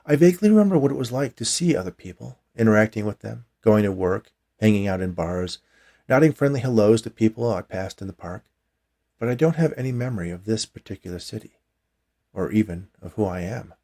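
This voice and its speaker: A man narrates a first-person short story in a low voice with plenty of creak. The delivery is scripted storytelling, read by the author himself. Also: expressive, fairly quick, mellow.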